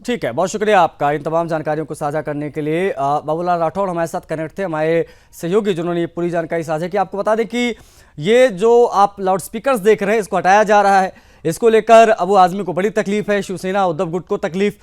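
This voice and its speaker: A man's voice: high voice, fairly even intonation, a little fast, clear, resonant tone. A man anchoring a news broadcast, presenting reports and putting questions to a correspondent.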